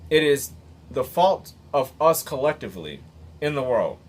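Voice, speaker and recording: Husky, blunt man in his late thirties. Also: medium pitch, big pitch swings, measured pace, a little mellow. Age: 30s. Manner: earnest, blunt and emphatic, with occasional swearing. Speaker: motivational vlogger. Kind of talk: unscripted commentary monologue.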